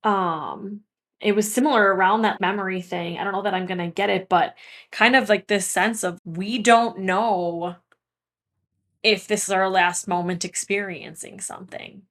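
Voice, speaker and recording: A woman with a medium-pitched voice co-hosts a podcast. She speaks casually and reflectively, with a thoughtful, encouraging manner that leans on questions to draw the other person out. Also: steady tone, normal speed, fairly bright tone. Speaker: podcast co-host, therapist.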